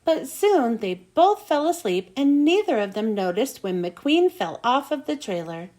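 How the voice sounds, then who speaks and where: High-pitched, animated woman storyteller. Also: unhurried. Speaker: storybook reader. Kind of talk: animated children's read-aloud narration.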